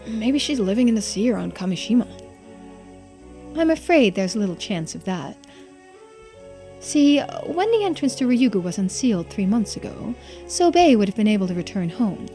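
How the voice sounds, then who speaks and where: A woman's voice: mid-range voice, big pitch swings, moderate pace, warm tone, faint music behind. A woman voicing character dialogue in a visual novel playthrough, with conversational, acted line readings. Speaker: streamer reading game dialogue aloud.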